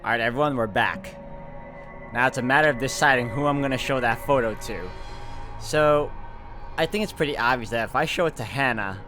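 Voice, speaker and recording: A man's voice: medium pitch, sharp tone. Man narrating a visual novel over music. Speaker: video game walkthrough host. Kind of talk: casual commentary and reading visual novel narration aloud. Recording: music behind the voice. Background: game music.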